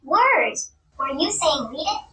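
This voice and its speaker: A woman voicing an excitable, bossy and smug young boy rabbit in a read-aloud storybook, with childlike indignant delivery. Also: high voice, slow pace, pitched above the performer's own voice, exaggerated voice. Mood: excited, relieved. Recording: roomy, distant mic, faint noise.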